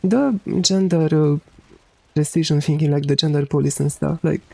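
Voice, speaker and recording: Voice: mid-range voice, moderate pace, gravelly, dry recording. An androgynous-sounding streamer with a Romanian accent, chatting casually with viewers and swearing freely. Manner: relaxed, swears casually, many fillers. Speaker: game streamer. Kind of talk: casual chat while streaming a game.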